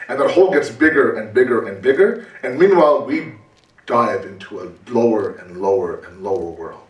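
A man giving a conversational religious talk, animated and full of rhetorical questions and repetition. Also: medium pitch, moderate pace. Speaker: preacher. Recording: roomy, distant mic.

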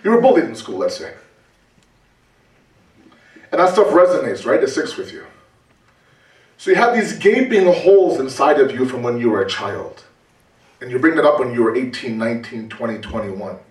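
A man giving a conversational religious talk, animated and full of rhetorical questions and repetition. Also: mid-range voice, normal speed, long pauses. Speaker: preacher. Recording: roomy, distant mic.

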